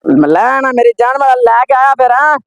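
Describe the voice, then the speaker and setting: A man's voice: extremely high pitch, expressive, quick pace, fairly bright tone, clean tone, no echo, exaggerated voice. A man playing a wayward, easily led son in an amateur moral skit, with a Pakistani Punjabi accent and emphatic, repetitive speech. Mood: eager to please. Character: wayward, wife-pleasing son who abandoned his father.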